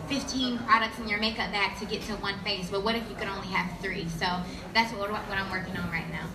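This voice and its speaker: A woman introducing her company to a live audience on a panel, confident and conversational. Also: mid-range voice. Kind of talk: public panel talk. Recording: echoey room with audience.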